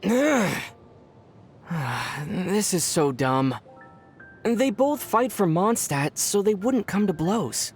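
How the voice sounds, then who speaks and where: An actor playing a calm, good-natured teenage boy adventurer in a video game, dry and gently teasing. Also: medium pitch, very slow, soft background music, exaggerated voice. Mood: argumentative.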